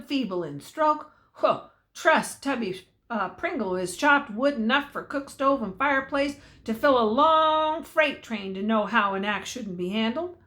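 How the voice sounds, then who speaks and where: A woman's voice: sits high, bright, forceful tone. An older woman narrating a story aloud in a folksy, dramatic way, drawing out key words.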